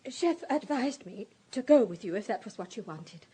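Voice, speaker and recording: An actress playing a fragile, distraught countess of thirty-nine in a radio drama, halting, emotional and apologetic. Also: female voice, fairly high pitch, lively intonation, dark tone, slightly rough. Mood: anxious, confessing. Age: 30s.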